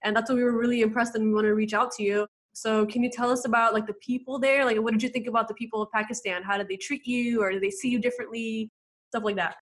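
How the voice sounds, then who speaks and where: A woman's voice: fairly high pitch, steady tone, fast. An American woman co-hosting an interview, talking casually and eagerly asking the guest questions. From United States. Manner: eager, talkative, asks questions. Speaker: co-host.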